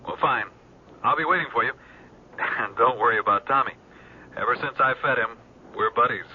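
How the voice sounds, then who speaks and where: A man's voice: medium pitch, slight background noise, natural voice. Man playing a courteous lieutenant, muffled old radio. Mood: matter-of-fact. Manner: hesitant, measured delivery. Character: courteous, grave small-town police lieutenant. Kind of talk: radio drama acting. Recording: muffled lo-fi 1970s radio recording.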